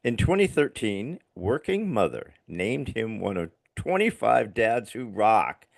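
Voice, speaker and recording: A low, gravelly older man with a slight lisp hosts a casual podcast interview. His voice swings widely in pitch as he talks with easy enthusiasm. The sound is dry and close. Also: very animated, unhurried, no room sound. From Long Island. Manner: enthusiastic, casual, calls guest buddy and dude. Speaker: podcast host. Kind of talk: casual podcast interview, reading a guest bio.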